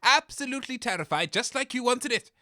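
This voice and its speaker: A man's voice: very high voice, animated, fairly quick, sharp tone, roomy sound, big-room sound, exaggerated voice. A man playing a scheming, self-important showman medium in a comic audio drama, theatrical in delivery. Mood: smug, bossy. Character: scheming, vain showman medium staging fake hauntings.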